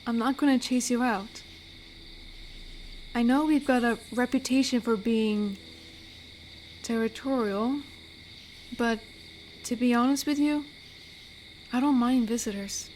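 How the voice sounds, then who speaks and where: A woman's voice: fairly high pitch, darkish tone, a little hiss or hum, lightly acted. A woman playing an amiable, teasing werewolf who protects a forest, speaking in an intimate, conversational roleplay drama. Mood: warm, welcoming. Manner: unhurried, teasing, conversational with pauses. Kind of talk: roleplay audio drama, intimate one-to-one address.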